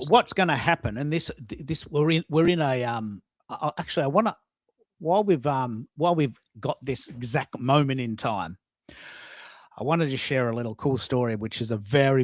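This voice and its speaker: A man's voice: mid-range voice, a little fast. A man hosting a live online show in casual, emphatic talk, often repeating his phrases for stress.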